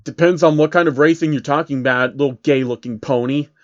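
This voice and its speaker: A man's voice: a little high, a little fast, dull audio, close to an everyday voice. A man voicing a sarcastic, menacing, foul-mouthed male rapper with a sneering manner in a story reading.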